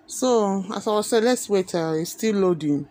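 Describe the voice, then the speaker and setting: A man's voice: high-pitched, expressive, moderate pace, darkish tone. A man with a Nigerian accent giving earnest opinionated commentary, repeating himself with many fillers. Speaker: video commentator.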